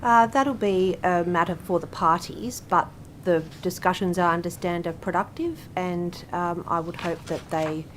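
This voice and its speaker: An Australian woman public servant giving formal answers at a parliamentary hearing in measured official phrasing. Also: lowish voice, steady tone, slight background noise. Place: parliamentary committee room.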